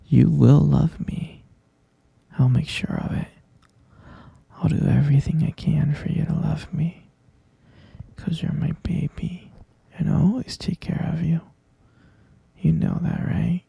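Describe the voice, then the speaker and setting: A man playing an obsessive, possessive, lovesick boyfriend in a whispered ASMR roleplay, with a breathy, gravelly voice and slow, coaxing delivery. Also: on the high side, dark tone, dry recording, full character voice. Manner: slow, coaxing, repeats himself with pet names.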